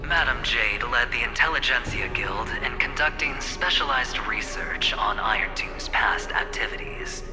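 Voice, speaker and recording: An actor playing a composed, calculating corporate spokesman in a game, with a smooth, businesslike delivery. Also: mid-range voice, moderate pace, hardly any pauses, natural voice. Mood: assured, persuasive. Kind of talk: scripted game cutscene acting. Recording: hall reverb, music underneath.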